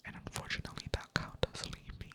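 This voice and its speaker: A creator whispering ASMR-style in a monotone, rambling casually while playing a game at a computer. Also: fast talker. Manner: monotone delivery; rambling, casual, self-mocking. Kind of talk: whispered ASMR chat over gameplay.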